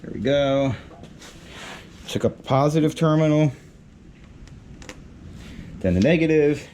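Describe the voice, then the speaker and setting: A man's voice: a little high, measured pace, darkish tone. A man giving a casual hands-on how-to as a DIY mechanic, speaking in short muttered steps while he works. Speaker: DIY mechanic demonstrating a repair test. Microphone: handheld camera moved around while working. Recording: clanking tools and workshop noise.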